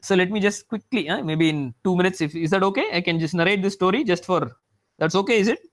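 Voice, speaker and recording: High-voiced man, rambling lecture. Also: fast talker. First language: Tamil. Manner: rambling, filled with "you know" and restarts. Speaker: mathematics professor giving a talk. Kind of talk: lecture-style talk.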